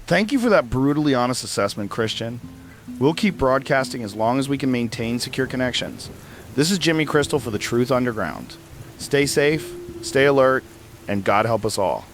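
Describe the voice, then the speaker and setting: A man acting as a male underground radio host in an audio drama, mid-pitched and lively in his intonation. He questions with grim sincerity and urgency, like a broadcaster keeping a rogue station on air. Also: normal speed, slight background noise, lightly acted. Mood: grave.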